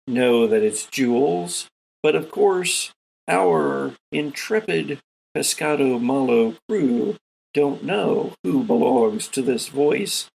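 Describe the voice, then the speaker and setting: Guy running a tabletop rpg, raspy fry in his voice, talks slow and dramatic, host. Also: medium pitch, poor audio. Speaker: host and game master. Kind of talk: narrating a tabletop role-playing game.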